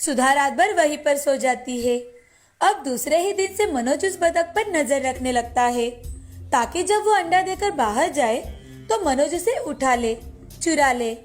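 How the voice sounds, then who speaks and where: A woman storyteller reads a scripted moral tale for children. Her voice sits high, and soft music plays behind the narration. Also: expressive, measured pace. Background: soft background music.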